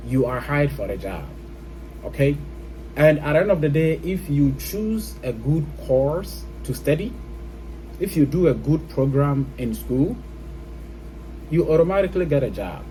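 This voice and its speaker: A man's voice: medium pitch, dark tone, slight background noise, rough recording. A man with a Ghanaian accent giving his opinion in a casual, explanatory vlog style, often checking in with the listener. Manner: explanatory, often checks in with "okay?" and "you know". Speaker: vlogger. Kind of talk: casual opinion talk to camera.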